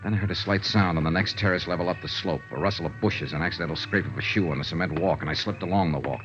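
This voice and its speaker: A man's voice: sits low, fast talker, lightly acted. An American actor playing a tough, shrewd male investigator in a 1950s radio noir serial, with clipped first-person delivery. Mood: tense, measured. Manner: clipped, hard-boiled delivery. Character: tough, shrewd male freelance insurance investigator, hard-boiled. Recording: muffled vintage radio recording, orchestral music.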